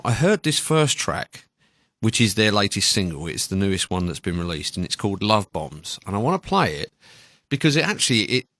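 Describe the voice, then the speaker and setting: A man with a fairly low voice presents new music on the radio. He talks in a casual, meandering, conversational way. The sound is close and dry, from a studio microphone. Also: a bit bright, dry recording. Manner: rambling, conversational. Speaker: radio show host.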